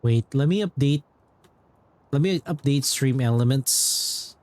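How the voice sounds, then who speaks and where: A man talks to his livestream chat in a casual, rambling way, circling back over his own phrases. His mid-pitched voice is recorded dry and close to the microphone. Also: slow pace, darkish tone, no room sound. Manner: rambling, repeats himself. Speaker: game livestreamer.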